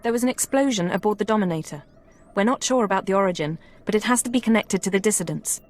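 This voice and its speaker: An actress playing a young, efficient intelligence analyst in a video game, with a clipped, precise, earnest manner. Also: female voice, mid-range voice, fast, natural voice. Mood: sober.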